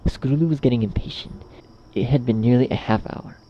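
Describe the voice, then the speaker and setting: A man's voice: medium pitch, fairly quick, highs cut off. A man narrating a scripted horror story.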